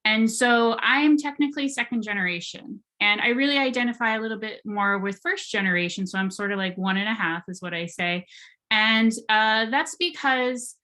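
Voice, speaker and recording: A woman's voice: a little high, moderate pace, sharp tone. An American woman artist talking on a panel, earnest and reflective, with many fillers and restarts. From United States. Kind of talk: panel talk presenting her art.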